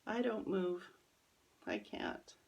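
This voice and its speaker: A woman reading her own children's story as expressive, careful, dramatic narration. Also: fairly low pitch, slow, vocal fry, highs cut off.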